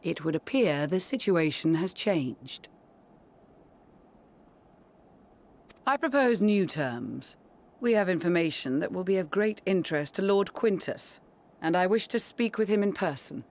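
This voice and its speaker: An actor voicing a composed, earnest young envoy with measured, articulate, formal speech in a video game. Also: medium pitch, expressive, darkish tone, natural voice. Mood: resolute. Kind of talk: dramatic video game voice acting.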